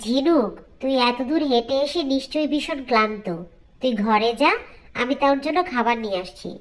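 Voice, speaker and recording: A woman acts out a middle-aged village stepmother from a cartoon fairy tale. Her voice is very high. She is spiteful and calculating, with a theatrical, dramatic delivery. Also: moderate pace, natural voice, low-quality recording. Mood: falsely sweet. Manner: scolding, menacing, falsely sweet when wheedling.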